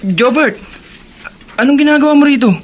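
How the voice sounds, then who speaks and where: An actor playing a bewildered older brother with a Filipino accent, hesitant and taken aback, in radio drama style. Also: male voice, very high-pitched, normal speed, slight background noise. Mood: startled, uneasy.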